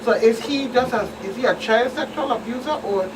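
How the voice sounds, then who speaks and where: Woman over clear background noise. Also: a little high, a little fast, far from the mic. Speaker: police commander, guest expert. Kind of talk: conversational interview, recounting cases.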